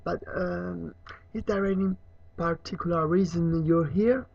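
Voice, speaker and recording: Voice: mid-range voice, fairly even intonation, unhurried, gravelly, darkish tone, voice pushed up. A reader voicing a polite, awkward young boy wizard in a storybook, with a hesitant, tactful manner. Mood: apologetic, kind. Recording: muffled, echoey room recording.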